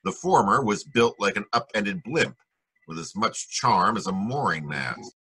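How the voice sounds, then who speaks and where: An actor playing a wry, cynical private detective in 1940s radio noir style, with a husky voice and a sardonic manner. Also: male voice, lowish voice, expressive, measured pace, sharp tone, full character voice, poor audio. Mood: wry narration. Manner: sardonic, wisecracking. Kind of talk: 1940s radio noir acting, narration and dialogue.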